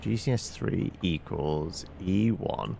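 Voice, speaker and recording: Guy muttering thru a game stream, kinda slow and gravelly, unsure of himself, noisy background. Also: low voice. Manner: thinking aloud, muttering and hesitant. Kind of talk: casual live gameplay commentary.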